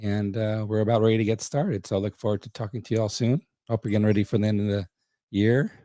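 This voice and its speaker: A man hosting a live online show, talking slowly and steadily while losing his voice. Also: on the low side, no room sound, clean studio sound. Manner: slow and steady, with some restarts. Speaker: livestream host. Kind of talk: casual live stream introduction.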